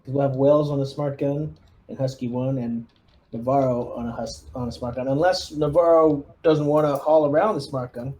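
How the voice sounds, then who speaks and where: A man's voice: mid-range voice, fairly even intonation, moderate pace, dark tone. A man chatting casually as the game master of a tabletop roleplaying game.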